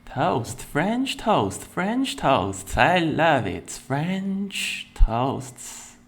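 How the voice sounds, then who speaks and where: A young man introducing himself as a virtual streamer and answering questions in a slow, deliberate way, with playful bursts of excitement. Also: on the high side, gravelly. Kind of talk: casual self-introduction and Q&A.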